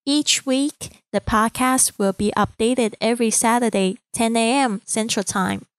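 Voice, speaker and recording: A woman in her thirties from Taiwan with a Mandarin accent, a podcast host reading a calm, earnest, inspirational script. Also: fairly high pitch, measured pace, no room sound. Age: 30s.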